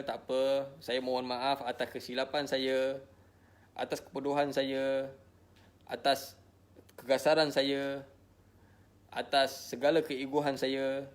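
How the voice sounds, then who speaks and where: A man's voice: medium pitch, little pitch movement, moderate pace. A middle-aged Malaysian man speaking informally to his followers in a repetitive, emphatic way, often checking in with short tags. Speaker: social media personality addressing followers. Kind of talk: informal live monologue to followers.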